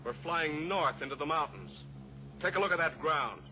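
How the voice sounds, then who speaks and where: An actor playing a steely heroic cowboy pilot in an old-time radio drama, measured, taunting and authoritative. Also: male voice, fairly high pitch, lively intonation, bright, forceful tone. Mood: grim, pressing. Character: steely heroic cowboy pilot, coolly pressuring a captive killer. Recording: noisy old radio recording, engine drone.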